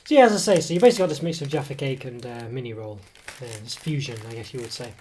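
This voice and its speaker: A man's voice: mid-range voice, racing, darkish tone, a little hiss or hum. A man with a cold reviewing a snack at an indoor table, talking in a rambling, hesitant way with many fillers and self-corrections, recorded on a table camera mic. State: has a cold, voice coming and going. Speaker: food reviewer. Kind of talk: casual product review.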